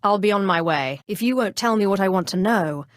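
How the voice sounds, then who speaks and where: Woman acting as cold, imperious dark sorceress. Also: medium pitch, animated, a little fast, no echo, studio quality, close to an everyday voice. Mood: probing, stern. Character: cold, haughty, cunning female Sith sorceress. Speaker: video game voice actress. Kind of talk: scripted role-playing game dialogue.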